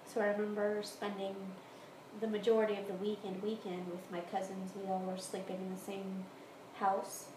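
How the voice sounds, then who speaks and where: An American woman speaks casually as an interviewee, recounting things from her own life. Her pitch sits in the middle of the range. The recording is made in a home, with a roomy echo and clear background noise. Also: little pitch movement, normal speed. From Houston area, Texas, United States. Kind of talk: casual interview answers, recounting personal experience. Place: room in a home.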